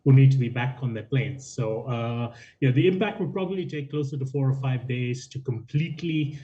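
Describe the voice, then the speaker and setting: Muffled remote link, guy giving expert commentary, mellow, repeats words as he explains. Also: medium pitch, fairly quick. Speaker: aviation consultant, interview guest. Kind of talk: off-the-cuff expert news commentary.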